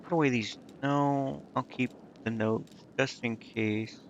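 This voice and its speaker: A man's voice: mid-range voice, fairly even intonation, takes it slowly, lo-fi sound, noisy background. A man streaming a video game, thinking aloud in a casual, offhand and indecisive way. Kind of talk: casual live gameplay commentary, thinking aloud.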